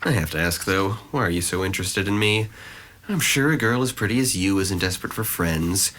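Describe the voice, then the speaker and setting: Man playing a low-voiced, sardonic young loner. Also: expressive, fairly quick, fairly bright tone. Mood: wry, guarded. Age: young adult. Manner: dry, sardonic, wordy. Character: guarded, gloomy, sarcastic young man, self-deprecating loner. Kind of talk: audio drama dialogue.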